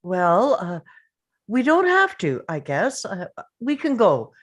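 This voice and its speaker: Woman acting hesitant, gentle spouse, swooping intonation. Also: mid-range voice, wildly swooping pitch, slow, heavily acted. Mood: concerned, soothing. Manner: hesitant, accommodating. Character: gentle, uncertain spouse in their fifties, driving. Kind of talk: table read acting.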